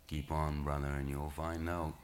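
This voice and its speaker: A man voicing a huge, surly old sea serpent who talks in a gruff, threatening way. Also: rumbling low, little pitch movement, normal speed, voice pushed down.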